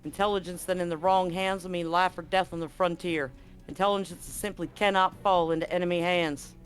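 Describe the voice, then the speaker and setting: A man playing a gruff, profane Marine commander briefing a squad in a tabletop game, blunt and commanding. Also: high voice, a bit bright.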